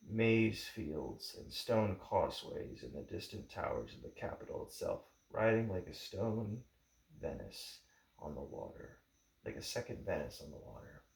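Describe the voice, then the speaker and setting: Male amateur narrator, low gravelly voice, halting book reading in a roomy, echoing space. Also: a little mellow. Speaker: amateur audiobook reader. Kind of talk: book introduction read aloud. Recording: roomy, echoing home recording.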